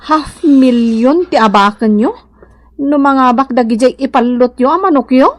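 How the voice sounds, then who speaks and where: A woman acting in an Ilocano radio comedy as a gentle young daughter who is worried and reproving. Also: sits high, moderate pace, close to an everyday voice.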